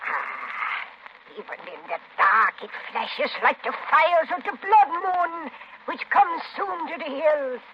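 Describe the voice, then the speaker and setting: Shrill old jungle crone, old-time radio. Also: female voice, very animated, slow, full character voice. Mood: sly, beckoning. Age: older. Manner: theatrical, archaic, exclaiming speech. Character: sly, superstitious old jungle crone, servant of a voodoo master. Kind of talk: 1930s radio acting. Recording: muffled, noisy 1930s radio broadcast.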